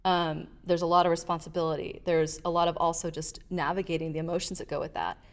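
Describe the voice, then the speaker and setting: Middle-aged woman guest, low voice, animated storyteller. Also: fast talker. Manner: animated storyteller, acts out quotes. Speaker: guest, leadership advisor. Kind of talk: casual interview storytelling.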